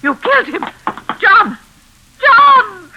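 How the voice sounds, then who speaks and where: An actress playing a deranged older mistress of a lonely house in a 1940s radio thriller, with genteel, deliberate menace. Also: female voice, very high voice, big pitch swings, highs cut off, full character voice. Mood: anguished. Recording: crackly 1940s radio broadcast.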